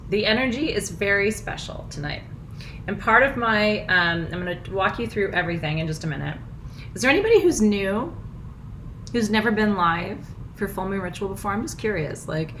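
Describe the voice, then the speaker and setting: A middle-aged woman talks to her live online audience in a voice gone husky and froggy from overuse. She is bubbly and effusive, laughing easily as she chats. A faint hiss sits under her webcam-style recording in a small home room. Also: medium pitch, a bit bright, rough recording. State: hoarse, nearly lost voice. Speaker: spiritual teacher hosting a live full moon ritual. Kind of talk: casual livestream chat. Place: small house, indoors.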